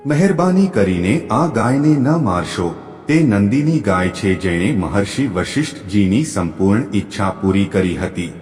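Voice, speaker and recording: A man voicing a devoted king who earnestly pleads for a cow's life in a storybook tale. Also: low voice. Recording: long hall reverb.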